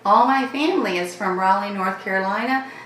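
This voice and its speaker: Chatty Southern woman, bright and roomy. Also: medium pitch, fairly quick, distant mic, a little hiss or hum. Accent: light Southern US. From Florida. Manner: chatty, repeats thanks, loose rambling sentences. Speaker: channel host answering viewer questions. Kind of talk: casual vlog Q&A. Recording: echoey room, voice a bit off-mic.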